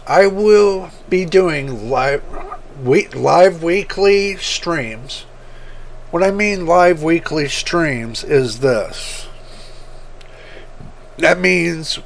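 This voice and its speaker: A man hosting his computer-building channel, talking casually and rambling, with a rough voice that repeats points as he goes. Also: on the high side, fairly bright tone. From United States. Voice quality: rough, gravelly voice. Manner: slow, rambling, repeats himself. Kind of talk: casual talk to camera.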